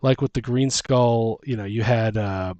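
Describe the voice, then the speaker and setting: A man co-hosting a casual chat, brainstorming fantasy lore in a halting, thinking-out-loud way. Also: medium pitch, no room sound, highs cut off. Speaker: podcast co-host.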